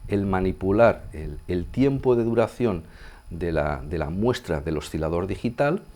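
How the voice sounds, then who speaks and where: Mellow low-voiced man from Spain, tutorial style. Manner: conversational, explains step by step, checks in with listeners. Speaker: sound engineer demonstrating a synthesizer. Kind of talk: informal video tutorial, explanatory. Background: synthesizer sounds being demonstrated.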